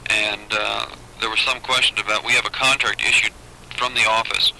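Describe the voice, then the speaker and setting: A man working as a booking agent, talking business haltingly on a noisy old taped phone call. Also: lowish voice, little pitch movement, gravelly, sharp tone. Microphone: telephone.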